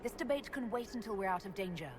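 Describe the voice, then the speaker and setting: An actress playing a measured, inquiring leader in a fantasy game. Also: female voice, mid-range voice, animated, noisy background, lightly acted. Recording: game audio over music.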